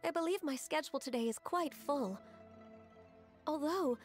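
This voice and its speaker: Actress doing polite young secretary girl, high sweet register, earnest, anime game w faint music. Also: normal speed, close to an everyday voice. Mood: apologetic. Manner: soft-mannered, courteous, earnest. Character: gentle, polite, dutiful young half-beast secretary woman. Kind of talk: anime video game acting.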